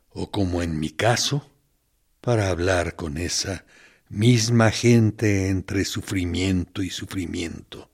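An actor playing a smug military interrogator in a radio play, speaking in a theatrical, sardonic, mock-courteous way with quiet menace. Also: male voice, sits low, slightly rough, exaggerated voice. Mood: self-pitying, menacing. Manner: deliberate, sardonic, condescending, with theatrical pauses. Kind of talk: theatrical radio drama monologue.

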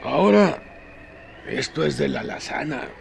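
Man acting gravelly, terse countryman. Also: on the high side, animated, measured pace, a little hiss or hum, full character voice. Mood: matter-of-fact. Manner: clipped, laconic questioning. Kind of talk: radio drama acting.

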